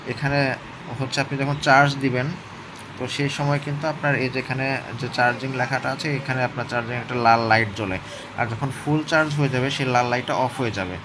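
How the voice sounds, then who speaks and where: Over clear background noise, a man presents a product review. He talks casually and explains things hands-on, pausing to check that viewers are following. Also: mid-range voice. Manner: explanatory, often checks in with listeners.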